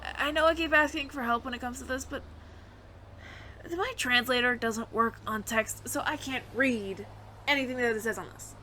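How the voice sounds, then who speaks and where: A woman's voice: on the high side, pushed and bright, a little hiss or hum. A woman playing a flustered, smitten alien roommate who stammers nervously and earnestly in an intimate audio roleplay. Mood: sheepish, pleading. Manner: nervous stammering, halting, repeats words.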